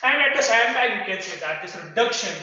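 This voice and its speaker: A young male teacher lectures fast in a high, bright voice, repeating key terms and checking in with his class. He is recorded by a far camera in a classroom with a whiteboard, so the sound is roomy, reverberant and dull. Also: expressive. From Kargil. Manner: repeats key phrases, quizzes students. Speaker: chemistry teacher. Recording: echoey room, dull lo-fi sound.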